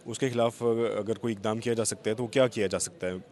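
A man's voice: lowish voice, steady tone, a little fast, darkish tone. A man in his forties with a Pakistani accent, putting questions as a television news reporter. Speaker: TV reporter and host. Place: outdoors, parliament forecourt. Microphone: handheld microphone.

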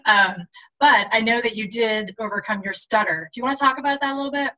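A woman's voice: a little high, fast, sharp tone, low-quality recording. A woman interviewer speaking in an enthusiastic, chatty way on a video call. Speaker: interviewer for a society. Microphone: video call webcam.

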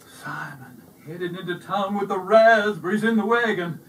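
A man narrating a children's storybook aloud in an animated, performed style. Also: high-pitched, moderate pace. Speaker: storybook reader. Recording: distant mic with faint noise.

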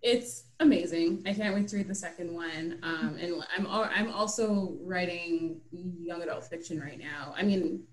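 Low-pitched young woman on an echoey video call. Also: normal speed, hardly any pauses. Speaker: author, guest at a book talk. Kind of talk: casual chat. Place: indoor room, on a video call. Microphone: computer mic on a video call. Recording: roomy, echoing video call.

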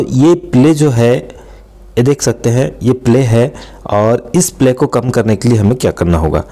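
Mellow-voiced man teaching a repair. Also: medium pitch. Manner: friendly instructional, keeps addressing viewers as friends. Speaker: mechanic and channel host. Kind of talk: step-by-step repair tutorial. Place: excavator cab, outdoors work site.

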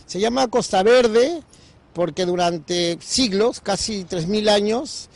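A man in his sixties explains local history in an outdoor interview. His voice is rather high, picked up on a handheld mic. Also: slow pace, faint music behind. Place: outdoors, on a seafront overlook. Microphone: handheld reporter's microphone.

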